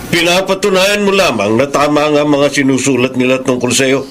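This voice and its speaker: A man's voice: fairly high pitch, brisk, a bit bright, a little hiss or hum, natural voice. An actor playing a stern, protective godfather in a radio drama, admonishing and reasoning with a Filipino accent. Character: stern, protective godfather in the film business, older mentor.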